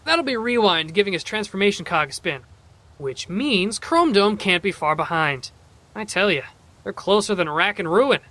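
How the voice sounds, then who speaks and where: An eager, joking robot crewmate, played by a man for an audio drama. His voice is high, sharp and lively, chummy and talkative. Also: moderate pace.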